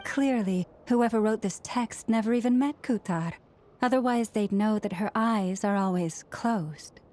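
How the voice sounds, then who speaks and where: A woman playing a composed, analytical scholar in an anime game, speaking in a measured, formal way. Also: medium pitch, a little mellow, natural voice. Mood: matter-of-fact.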